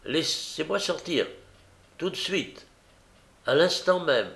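A man voicing a stubborn, desperate young woman who pleads insistently and haltingly in a story reading. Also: fairly high pitch, very animated, creaky, a bit bright, echoey room, voice pushed up, exaggerated voice. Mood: agitated, insistent.

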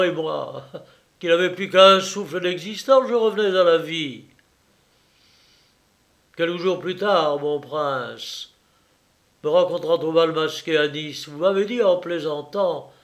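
A man's voice: a little high, voice pushed up. A man voicing a feverish, sardonic young man who speaks vehemently at a dinner party in a storybook reading.

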